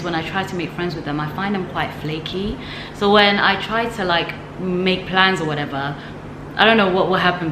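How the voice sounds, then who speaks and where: A woman talking casually to camera in a vlog, reflective and chatty, with light laughs and frequent fillers. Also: fairly low pitch. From from the West, now living in Ghana. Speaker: vlogger. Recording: echoey room with noticeable background noise.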